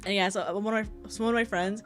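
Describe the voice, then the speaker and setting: A woman's voice: medium pitch, steady tone, racing, a bit bright. Young woman, congested, tired, rambling, giggly, casual livestream chat, faint background music. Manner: rambling, giggly, chatty with viewers. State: tired, low energy. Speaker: VTuber streamer.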